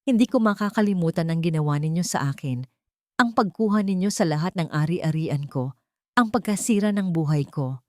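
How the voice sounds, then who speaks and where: A woman's voice: lowish voice, lively intonation, normal speed, dry recording, studio quality, close to an everyday voice. A woman narrator voicing a bitter, vengeful older woman seeking justice in a story. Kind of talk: dramatic storybook dialogue.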